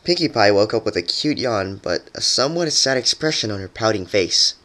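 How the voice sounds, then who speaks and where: A narrator giving an animated dramatic reading of a fan fiction story. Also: mid-range voice, fairly quick. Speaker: narrator and voice actor.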